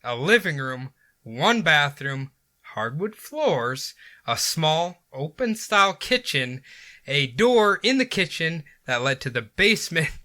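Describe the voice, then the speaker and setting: Man host, bright lively voice, laughs into a spooky story. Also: fairly high pitch, takes it slowly. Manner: laughs often, reads notes with jokey asides. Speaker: podcast host. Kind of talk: casual paranormal storytelling.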